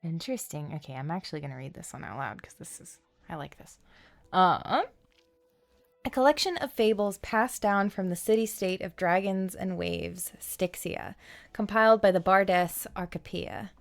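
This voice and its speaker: A woman game streamer with a slightly low voice and a noticeable creak. She comments casually on the story and reads parts of it out loud. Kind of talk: casual gaming commentary and reading aloud.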